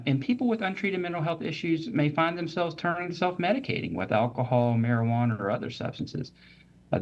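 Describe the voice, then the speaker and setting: A man of average pitch explains mental health topics as a medical guest on a talk show. He speaks steadily and methodically, often pausing on "uh" and "um", and the recording sounds a little dull. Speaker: physician guest.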